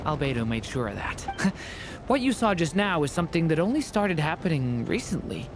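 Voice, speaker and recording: Game role: gentle polite young dragon, low pitch, expressive. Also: female voice, normal speed. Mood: reassuring. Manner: polite, gentle and a little shy. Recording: game audio with music underneath.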